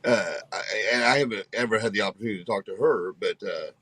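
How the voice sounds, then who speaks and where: A man co-hosting a podcast, asking a guest questions with halting "uh" restarts. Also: fairly low pitch, a bit bright, slightly rough, dry recording. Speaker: podcast co-host. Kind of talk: casual interview chat.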